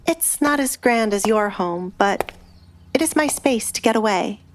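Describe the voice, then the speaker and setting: A woman plays a young noblewoman in an audio romance drama, pitched a little above the narration. She speaks softly and evenly, with dry, quiet defiance, over background music. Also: expressive, unhurried, background noise. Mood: wistful.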